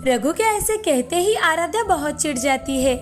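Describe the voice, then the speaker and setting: A woman's voice: high voice, animated, moderate pace. A woman reading a scripted children's story as narrator. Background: faint music.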